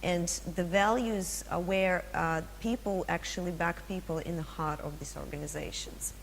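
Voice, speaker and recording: A woman's voice: on the low side, fairly even intonation, moderate pace, gravelly. A woman with a Russian accent giving a business presentation at a conference, with frequent fillers and restarts, asking the audience questions. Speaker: conference speaker. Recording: long hall reverb, faint hum.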